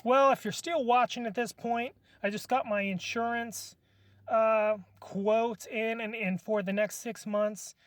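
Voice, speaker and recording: A man's voice: high-pitched, steady tone, unhurried, dry recording. A man on a live stream, chatting casually and hesitantly, often restarting his words. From California.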